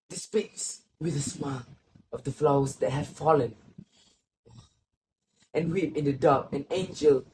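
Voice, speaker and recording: Man playing grieving old grandfather, higher-pitched voice, solemn stage drama delivery, reflective, slow, faint background noise. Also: close to an everyday voice. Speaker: student actor. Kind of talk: literary stage play acting.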